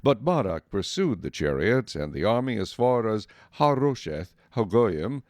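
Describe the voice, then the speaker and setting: A man's voice: lowish voice, sing-song, unhurried, darkish tone, no echo. A man narrating a dramatized Bible story as scripted, solemn storytelling.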